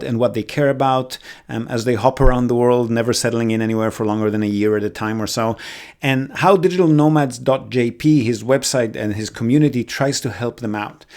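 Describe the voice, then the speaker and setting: A man's voice: mid-range voice, darkish tone. Upbeat male podcast host. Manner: upbeat, enthusiastic. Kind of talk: podcast intro and interview.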